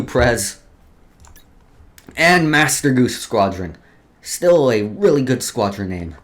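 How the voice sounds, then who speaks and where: A man hosting a let's play, reading game lore aloud with casual asides and often stumbling over words before restarting. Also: medium pitch.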